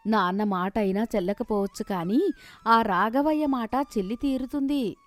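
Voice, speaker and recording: A woman voicing a worried, superstitious village mother who gently chides, in an animated moral story. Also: on the high side, normal speed, close to an everyday voice. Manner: chiding, cautioning.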